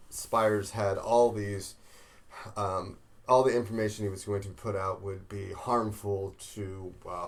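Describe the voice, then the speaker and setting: A man with an American accent, a podcast co-host telling stories in casual chat, with quick asides and frequent restarts. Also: lowish voice.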